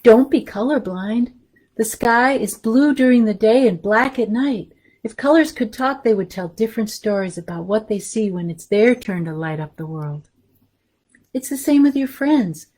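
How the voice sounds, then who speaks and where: A woman's voice: fairly high pitch, animated, moderate pace, a little mellow. A woman hosting a story time for young children, reading aloud and chatting casually in simple, encouraging language.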